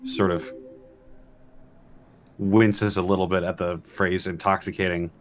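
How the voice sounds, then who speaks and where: Slow, low, even-voiced man role-playing. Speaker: player in a tabletop role-playing game. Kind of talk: in-character improvised dialogue. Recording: faint background noise.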